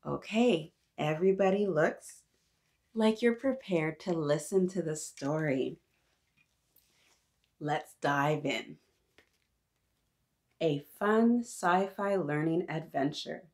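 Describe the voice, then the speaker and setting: Lady doing kids storytime, slowish and a bit low voiced, animated and encouraging. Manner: animated, encouraging, talks directly to little listeners. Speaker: children's read-aloud story host. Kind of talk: interactive storytime reading aloud to young children.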